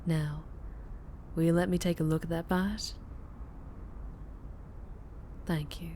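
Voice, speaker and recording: A woman's voice: low voice, fairly even intonation, moderate pace, warm tone, slight background noise, natural voice. A woman playing a fierce, flirtatious vampire biker with a Southern US accent, teasing and protective in an intimate ASMR roleplay. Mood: soothing, flirtatious.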